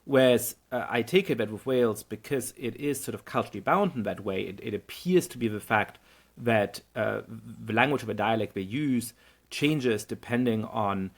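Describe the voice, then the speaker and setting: A man's voice: mid-range voice, normal speed, creaky. A middle-aged man hosting an interview podcast, thinking aloud with stumbling repetitions. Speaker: podcast host. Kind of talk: conversational interview.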